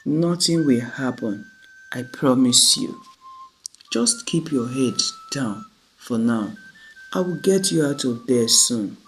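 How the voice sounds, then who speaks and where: A storyteller voicing a determined, protective aunt in a story, earnest and deliberate, in short phrases. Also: mid-range voice, animated, faint music behind, low-quality recording. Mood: reassuring, firm.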